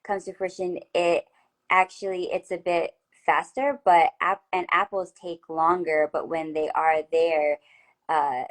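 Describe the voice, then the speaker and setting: Chatty lower-voiced woman hosting a video interview. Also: flat delivery, measured pace. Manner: warm affirming, chatty with restarts. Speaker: host.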